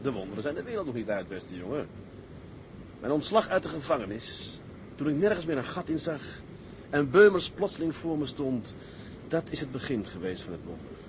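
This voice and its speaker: Male actor for determined tugboat skipper: mid-pitched, earnest, declamatory dreamer, seafaring drama with light ambient noise. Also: very animated, fairly quick, natural voice. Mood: fervent. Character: resolute, dreamy male tug captain set on owning a ship. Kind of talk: dramatised seafaring story, scripted acting.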